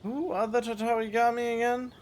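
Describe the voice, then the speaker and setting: A man's voice: very high-pitched, flat delivery, fairly quick, slight background noise. A man doing casual commentary while playing a video game, musing aloud in an offhand, curious way. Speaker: gaming streamer. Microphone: USB condenser mic. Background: game music.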